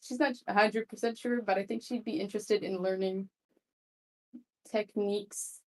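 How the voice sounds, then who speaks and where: A woman's voice: mid-range voice, steady tone, normal speed, darkish tone, poor audio. A woman playing in a tabletop roleplay game, chatting casually. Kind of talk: casual online roleplay chat.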